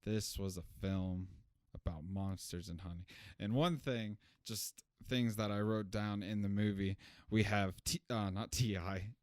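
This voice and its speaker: A man's voice: fairly low pitch, fairly even intonation, unhurried, darkish tone, dry recording, clean studio sound. A man co-hosting a film review podcast, talking casually and giving informal, blunt opinions. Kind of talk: casual chat, movie review discussion.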